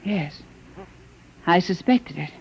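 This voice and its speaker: A woman's voice: fairly low pitch, expressive, slow, creaky, a little mellow, slightly rough, exaggerated voice. An actress playing a frightened, bewildered captive woman in a 1950s radio drama. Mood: fearful, dismayed. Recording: muffled old 1950 radio recording.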